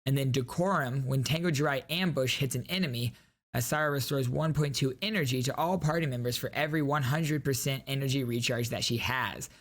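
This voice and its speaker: A man's voice: medium pitch, fairly quick, fairly bright tone. A man hosting a gaming channel, giving enthusiastic, casual reaction commentary and reading out game details. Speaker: gaming YouTuber host.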